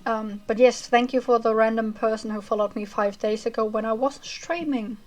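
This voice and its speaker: A woman streamer chatting casually with her viewers as she wraps up a livestream, rambling with fillers and a self-described monotonous voice. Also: on the high side, normal speed. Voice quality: monotonous reading voice. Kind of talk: casual chat ending a livestream.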